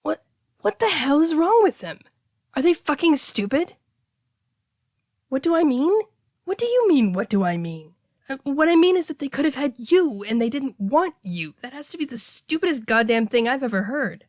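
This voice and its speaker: A woman playing a casual, blunt heartbreaker friend in an intimate audio roleplay, talking conversationally with hesitant, expressive delivery. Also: high voice, moderate pace, no echo, clean studio sound. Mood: indignant, admiring. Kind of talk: intimate one-sided audio roleplay, naturalistic dialogue.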